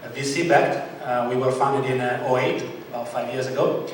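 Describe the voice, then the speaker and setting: A company president introducing himself on a panel, brisk and plain. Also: male voice, medium pitch, steady tone. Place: event room. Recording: echoey hall mic from far off, background noise.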